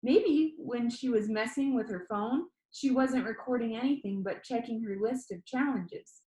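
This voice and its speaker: A woman reading a children's novel aloud as expressive first-person audiobook narration. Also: fairly high pitch, normal speed, roomy sound, poor audio. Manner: expressive read-aloud storytelling.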